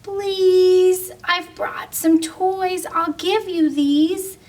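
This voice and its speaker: A woman's voice: very high voice, fairly even intonation, very slow, a little mellow, far from the mic, voice pushed up, heavily acted. A woman voicing a greedy young male dinosaur in a storybook, speaking in sing-song rhyming lines. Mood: pleading.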